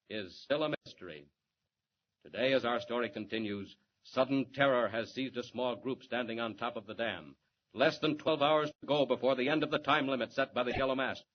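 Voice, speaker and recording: A man's voice: medium pitch, lightly acted. Portentous 1940s radio serial narrator played by a man. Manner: theatrical, portentous. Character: dramatic male serial narrator, grave and urgent. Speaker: announcer and narrator. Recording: muffled, noisy 1940s radio recording.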